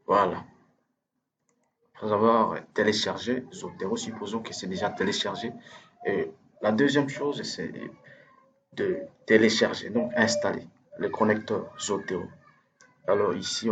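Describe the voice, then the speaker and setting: A man with French as his first language, giving a hesitant, step-by-step software tutorial over a screen recording, full of fillers and restarts. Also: fairly low pitch, muffled recording, slight background noise. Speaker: instructor.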